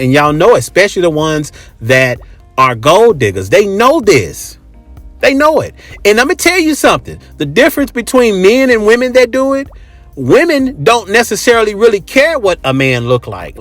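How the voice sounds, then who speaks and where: A man's voice: high voice, sing-song, moderate pace, fairly bright tone, dry recording, faint music behind. An American man hosting a casual, opinionated monologue, speaking bluntly and emphatically with swearing and repeated phrases. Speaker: host. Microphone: close microphone.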